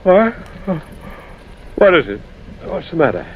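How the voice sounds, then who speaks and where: A tense, grave storyteller of a ghostly encounter. He speaks deliberately and dramatically with animated pitch, in old radio drama style on a dull, faintly noisy recording. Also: heavily acted. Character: grave male traveler recounting a ghostly encounter. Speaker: narrator and protagonist.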